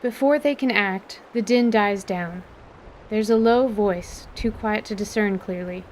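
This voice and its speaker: A woman reading scripted story narration for an audio drama in a measured, articulate way. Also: medium pitch, darkish tone, slight background noise.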